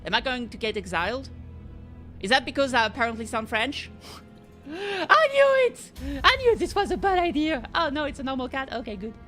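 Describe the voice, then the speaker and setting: A woman's voice: high-pitched, big pitch swings, normal speed, fairly bright tone, soft background music. A young woman streaming a game, reading the subtitles aloud with playful chatter. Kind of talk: casual gameplay commentary.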